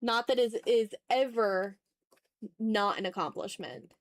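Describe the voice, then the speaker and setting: A woman's voice: on the high side, measured pace, no room sound. A woman hosting a livestream, chatting informally and expressively straight to her viewers. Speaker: art livestream host. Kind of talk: casual livestream chat, reading and answering viewer messages.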